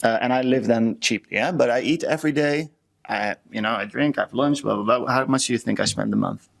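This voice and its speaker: A man's voice: medium pitch, a little fast, creaky. Chatty Dutch-accented man co-hosting a podcast. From Netherlands. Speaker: podcast co-host. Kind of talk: casual chat.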